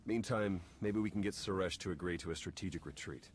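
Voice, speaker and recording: A man's voice: sits low, brisk, slight background noise, close to an everyday voice. A man playing a weary, dry-witted, resolute intelligence agent in a video game, speaking in clipped, understated lines. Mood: urgent.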